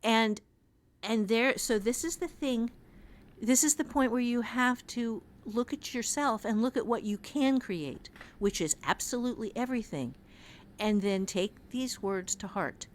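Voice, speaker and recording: A woman's voice: fairly high pitch, a little slow. A woman speaking as a writing teacher in a conversational podcast, earnest and emphatic, repeating phrases to drive her point home.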